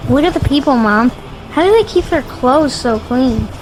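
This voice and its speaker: A woman's voice: high voice, animated, normal speed, a little hiss or hum, poor audio. A woman voicing a curious, innocent young boy in an audio drama, eager and questioning.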